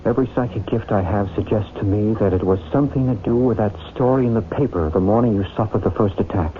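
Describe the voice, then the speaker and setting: An actor playing a calm, probing psychic healer in an old-time radio drama, measured and solemn. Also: male voice, lowish voice, natural voice. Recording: tinny old radio broadcast with faint noise.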